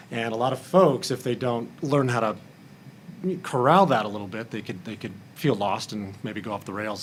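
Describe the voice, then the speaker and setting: Male panelist, producer type. Low voice, thoughtful halting delivery, faint live-room noise behind. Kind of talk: roundtable discussion.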